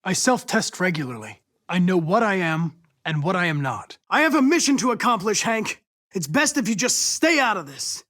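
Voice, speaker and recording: A man's voice: high-pitched, sing-song, normal speed, pushed and bright, no echo, clean studio sound, heavily acted. A man playing a polite, determined young android police investigator in a video game, with formal, precise, controlled diction. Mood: matter-of-fact, then cold, resolute.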